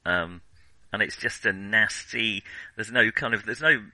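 A man's voice: fairly low pitch, measured pace, bright, forceful tone, dry recording, low-quality recording. A man co-hosting a film podcast in casual discussion, meandering and correcting himself as he feels his way through his thoughts. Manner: hesitant, meandering, self-correcting.

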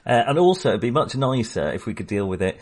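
A man's voice: mid-range voice, very animated, fast talker. A middle-aged man hosting a casual film discussion podcast with wry, ironic humour. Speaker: podcast host.

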